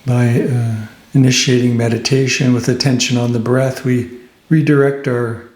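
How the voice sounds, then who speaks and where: A man leading a guided meditation as a teacher, speaking gently and unhurriedly, with pauses between phrases. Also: mid-range voice, roomy sound.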